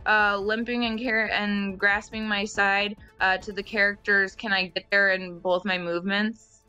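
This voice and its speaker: A woman's voice: mid-range voice, little pitch movement, a little slow, pushed and bright, clear, resonant tone, lo-fi sound. A woman talking casually as a player in a tabletop roleplaying game, deciding her character's moves. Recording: background music under the voice. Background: music.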